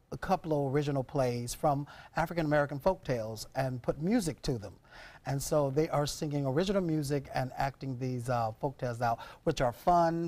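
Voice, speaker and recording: A man's voice: mid-range voice, a little mellow. A middle-aged man, a theatre director, speaking as a guest in a talk show interview on a lapel mic. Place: television studio.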